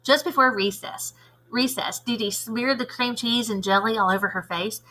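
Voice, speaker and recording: Teacher read-aloud: woman, fairly high bright voice, children's storybook narration. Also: fairly even intonation, moderate pace, poor audio.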